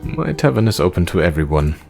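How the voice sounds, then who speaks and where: A man playing a patient, dry-humoured tavern keeper in a visual novel, speaking in a measured, deadpan way. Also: low voice, vocal fry, voice pushed down, heavily acted. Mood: patient, wry. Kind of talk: visual novel character read-aloud. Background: music.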